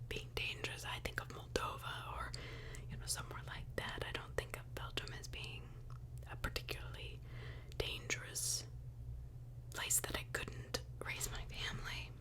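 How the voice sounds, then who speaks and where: A woman narrating true crime in a breathy, unvoiced ASMR whisper, conversational and given to rhetorical asides. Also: a little high.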